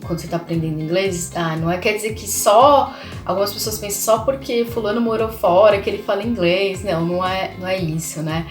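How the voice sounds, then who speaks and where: A young woman from Brazil hosts a casual vlog, talking in a chatty way and tagging her sentences with questions to the viewer. Her voice sits in the middle range and sounds a bit roomy. Soft music plays faintly behind her. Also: mid-range voice. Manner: chatty, many fillers and tag questions. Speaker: language channel host.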